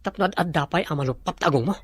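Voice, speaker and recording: A man playing a courteous, self-assured gentleman. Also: on the high side, racing, slightly rough. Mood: questioning. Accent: Filipino, Ilocano. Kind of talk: Ilocano radio-style drama acting.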